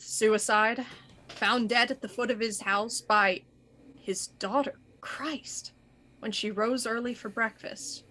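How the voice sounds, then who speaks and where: An actress playing a shrewd, composed police inspector in a radio murder mystery, deliberate and probing. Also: female voice, fairly high pitch, a bit bright, a little hiss or hum, poor audio. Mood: musing.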